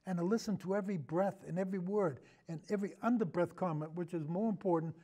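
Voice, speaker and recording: A man's voice: high-pitched, quick pace, mellow. A man speaking as a podcast guest in a casual film discussion, rambling earnestly and repeating himself as he goes.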